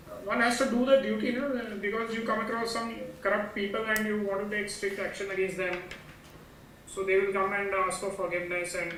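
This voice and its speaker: Echoey, distant, high-voiced man asking questions. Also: bright, forceful tone. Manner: halting, searching for words. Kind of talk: hesitant class question. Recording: distant, echoey, noisy remote microphone.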